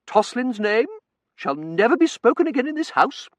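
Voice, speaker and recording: A man's voice: very animated, moderate pace, dry recording. A man voicing an imperious, melodramatic older Victorian matron who wails and commands. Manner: shrill, wailing, commanding.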